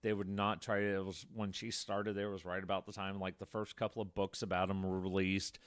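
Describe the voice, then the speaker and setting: A man's voice: low voice, little pitch movement, fairly quick, no echo, clean studio sound. A man co-hosting a podcast, telling true-crime stories casually in a wordy way full of asides. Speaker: podcast co-host.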